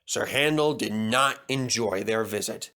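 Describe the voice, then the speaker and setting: Slow, expressive man reading a children's story. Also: on the low side. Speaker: storybook reader.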